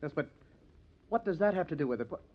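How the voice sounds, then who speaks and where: A man's voice: on the high side, expressive, fast talker. An actor playing a wealthy man being framed for murder, scoffing and agitated in old-time radio drama style. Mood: scornful disbelief. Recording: dull, lo-fi old radio recording.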